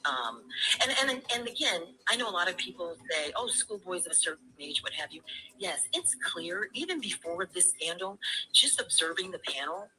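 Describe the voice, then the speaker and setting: A woman's voice: lowish voice, sing-song, moderate pace, bright, forceful tone, poor audio. A woman calling in to a livestream discussion, speaking in a formal, emphatic and defensive way over harsh replayed stream audio. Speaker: caller on a livestream. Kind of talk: opinionated panel discussion.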